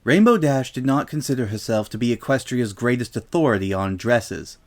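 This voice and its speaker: A man's voice: mid-range voice, expressive, normal speed. A man reading a story aloud in a dramatic, comedic narration style.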